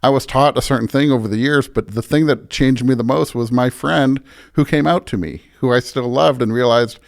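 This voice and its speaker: Thoughtful man in earnest, halting conversation. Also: medium pitch, vocal fry. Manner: earnest, halting restarts, tags points with "right?". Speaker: pastor, podcast guest. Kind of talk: conversational reflection on faith and ethics.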